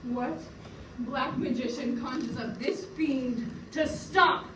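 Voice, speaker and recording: A young woman acting a grieving, vengeful widowed noblewoman in a declamatory, emotional classical Shakespearean stage style. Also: sits high. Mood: defiant, scornful. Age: young adult. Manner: declamatory, emotional, slow deliberate delivery. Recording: roomy, distant mic, noisy room.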